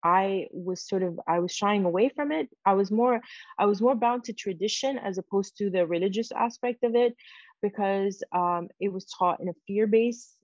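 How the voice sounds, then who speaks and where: A woman's voice: medium pitch, moderate pace, no room sound, dull audio. A woman whose first language is Farsi, telling a personal story as an interview guest, reflective and full of fillers like "sort of" and "you know".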